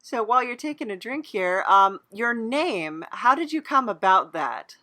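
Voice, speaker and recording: Bright-voiced woman interviewer. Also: fairly high pitch, very animated, moderate pace. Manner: encouraging, affirming listener. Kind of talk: casual interview.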